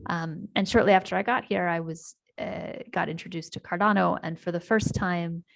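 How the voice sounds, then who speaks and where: A middle-aged woman from the United States with an American accent, speaking to a community town hall over a video call. Her voice sits on the low side and carries a gravelly fry. She tells her story easily, with frequent ums and self-corrections. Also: fairly even intonation, moderate pace. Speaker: community presenter. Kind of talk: online town hall presentation.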